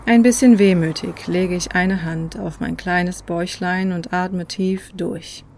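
A narrator reading a scripted personal travel story in a reflective, measured way, recorded close on a microphone. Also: medium pitch, steady tone, creaky, a little mellow, a little hiss or hum. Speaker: podcast host and narrator.

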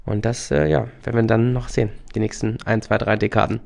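Eager young lawyer guest. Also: male voice, lowish voice, fairly even intonation, quick pace, vocal fry, darkish tone. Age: young adult. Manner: eager, many fillers. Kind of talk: casual interview talk.

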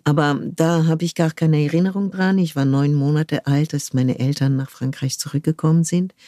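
Older woman, mellow and reflective. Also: low-pitched, fairly even intonation, dry recording, clean studio sound. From France. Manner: thoughtful, searching for words with frequent pauses. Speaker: guest, cultural manager. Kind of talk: reflective conversational interview.

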